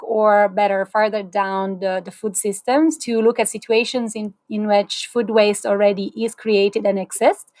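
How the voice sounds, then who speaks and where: A woman's voice: medium pitch, dry recording. A woman in her thirties hosting an online series on a video call, upbeat and chatty with small restarts. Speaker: host. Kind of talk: livestream webinar hosting. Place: small indoor room, plain wall.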